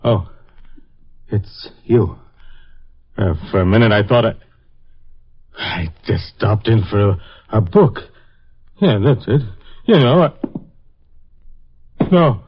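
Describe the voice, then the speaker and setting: An actor playing a nervous man caught off guard, halting and stammering, in 1950s radio drama. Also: fairly low pitch, lively intonation, slightly rough, full character voice. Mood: startled, fearful. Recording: muffled old-time radio recording.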